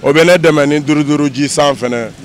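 A young man answering a street interview outdoors, speaking into a handheld mic, earnest and steady as he complains. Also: a little high, normal speed, slight background noise. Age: young adult. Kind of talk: vox pop answer. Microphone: handheld mic held by interviewer.

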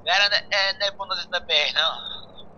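A man's voice: sits high, brisk, bright, forceful tone, dull audio. A man with a Brazilian Portuguese accent, bantering casually with friends in a game voice chat in a laid-back, slangy way.

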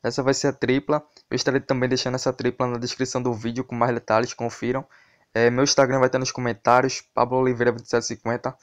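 A man's voice: medium pitch, flat delivery, fast. A man with a Brazilian accent hosting football tips, talking informally and directly to his viewers in a casual commentary style. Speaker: host of a football betting tips channel. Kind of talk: casual sports tips commentary over a screen recording.

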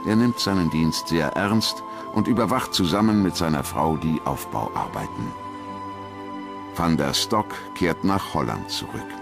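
A man narrates a documentary in a very deep voice. His delivery is scripted, measured and solemn. Music plays clearly behind him throughout. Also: expressive.

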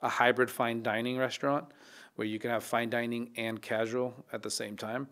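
A man in his thirties talks through his career as a chef in a calm, reflective way. He often restarts his sentences, and his pitch sits in the middle. The sound is close and clean, from a studio mic on a boom arm. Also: moderate pace. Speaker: chef, podcast guest. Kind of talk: casual interview talk. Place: dark podcast studio.

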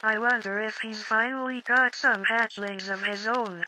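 A woman's voice: a little high, fairly even intonation, normal speed, pushed and bright, slight background noise, rough recording. A woman's text-to-speech voice playing a proud, prim mother swan in a cartoon storybook. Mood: doting.

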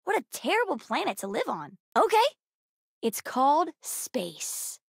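A woman voicing a bright, bookish, sassy young girl in a video game, earnest, chatty and precocious. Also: high-pitched, wildly swooping pitch, measured pace, gravelly, dry recording, clean studio sound, full character voice. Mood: playful, curious. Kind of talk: video game character dialogue.

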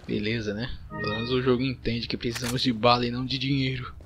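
A man's voice: mid-range voice, little pitch movement, fast talker, music in the background. A man with a Brazilian accent giving casual let's-play commentary, informally muttering his reactions as he goes. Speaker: gameplay host.

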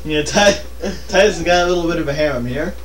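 A man's voice: fairly high pitch, brisk, a bit bright. A man giving casual, joking game commentary, riffing in a silly, repetitive way. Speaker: let's play co-commentator. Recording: roomy, distant mic, faint noise.

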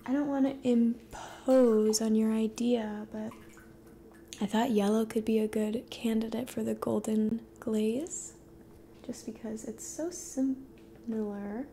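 A young woman speaks in a gentle, hushed ASMR style, slipping between soft talk and whisper as if to one companion. Her voice is fairly high and mellow, with a lot of creak. There is faint noise behind her. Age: 20s. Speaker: ASMR roleplay host. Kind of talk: soft-spoken ASMR roleplay, talking to the listener. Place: dim indoor room at a table.

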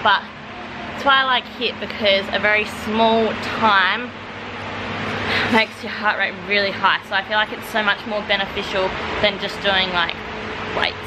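A young Australian woman talks straight into a handheld camera, rambling cheerfully through her day with plenty of fillers. Her voice sits at a middle pitch for a woman. Steady background noise runs under the whole recording. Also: normal speed. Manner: chatty, upbeat, rambling with fillers. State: out of breath after a workout. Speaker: vlogger. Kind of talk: casual vlog chat. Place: home kitchen and bedroom, also a gym. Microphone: handheld camera at arm's length.

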